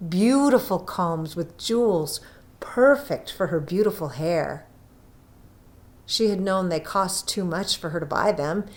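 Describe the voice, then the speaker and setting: Slow, very expressive woman storyteller. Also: lowish voice. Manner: deliberate, dramatic phrasing. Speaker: story reader.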